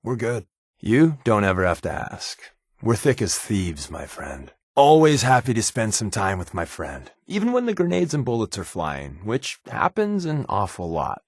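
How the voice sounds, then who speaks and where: An actor playing a wry, wisecracking American undercover spy companion in a video game, with a glib, sardonic and casual way of talking. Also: male voice, fairly low pitch, huge pitch swings, moderate pace, slightly rough, no echo, studio quality, full character voice. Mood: warm, sincere. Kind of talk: video game voice acting, conversational dialogue.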